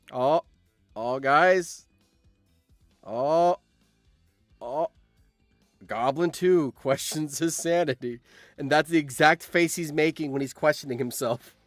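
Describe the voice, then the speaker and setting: Animated man streaming casual live commentary. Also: fairly high pitch, no room sound. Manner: animated, exclamatory, repeats phrases. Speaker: streamer hosting a reaction game. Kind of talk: casual live-stream commentary, reading game events aloud.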